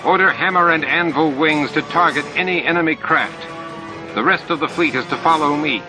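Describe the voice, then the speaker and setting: A man voicing a fierce, gruff male alien commander in a science fiction audiobook, growling terse military orders. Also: on the high side, moderate pace, music in the background, voice pushed up, natural voice. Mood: commanding, urgent.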